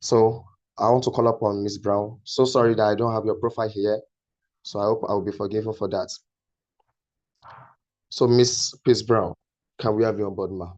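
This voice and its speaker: A man's voice: fairly low pitch, fairly even intonation, normal speed, pauses often, darkish tone, dull audio. A male host politely calls a guest speaker onto an online session, addressing her as "ma". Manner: polite, uses "ma" and "Miss". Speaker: program host.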